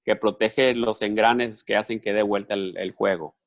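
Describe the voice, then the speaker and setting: A man's voice: fairly low pitch, fairly even intonation, normal speed. A man working as a live interpreter for a conference speaker, talking conversationally with repeated words and restarts.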